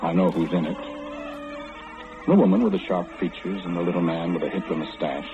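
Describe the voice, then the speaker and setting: Man acting a sly, deep-voiced all-knowing mind reader. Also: fairly even intonation, a little fast, music in the background, natural voice. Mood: ominous. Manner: sly, insinuating, theatrical, speaking confidingly to the listener. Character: eerie all-knowing male mind reader, teasing and unflappable. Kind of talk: old-time radio horror acting. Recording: muffled, noisy old radio broadcast.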